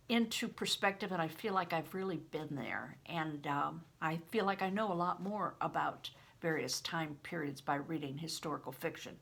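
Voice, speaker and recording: An older woman reading aloud in a deliberate, expressive way, with informal asides of her own. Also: lowish voice, vocal fry, slightly rough. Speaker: read-aloud host.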